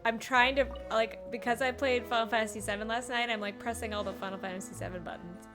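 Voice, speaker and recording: A woman's voice: fairly high pitch, steady tone, quick pace. Playful woman streaming a game. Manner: playful, reactive. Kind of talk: live let's play commentary. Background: game music.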